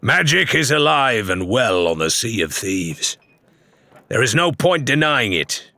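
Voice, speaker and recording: A man's voice: medium pitch, big pitch swings, a little slow, bright, forceful tone, dry recording, exaggerated voice. A man voicing an ambitious pirate leader in an audiobook, persuasive and commanding, addressing followers like an orator. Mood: rousing.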